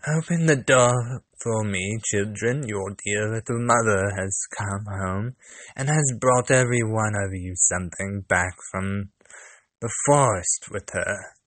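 A man voicing a sly, menacing storybook wolf with a deliberate, drawn-out delivery. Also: on the low side, fairly bright tone, no room sound. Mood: coaxing. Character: sly, menacing male wolf, a deceitful predator.

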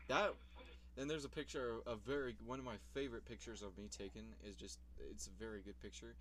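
A man's voice: mid-range voice, steady tone, fairly quick, fairly bright tone. A young man in casual vlog commentary, rambling about his old videos, swearing casually and laughing at himself.

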